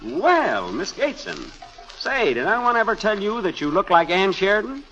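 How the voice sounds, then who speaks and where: Brash, animated 1940s radio wisecracker. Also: male voice, sits high, moderate pace, lo-fi sound, full character voice. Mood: glib, flirtatious. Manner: glib, wisecracking, teasing. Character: brash wisecracking male newspaper reporter, glib and sly. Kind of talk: 1940s radio comedy acting.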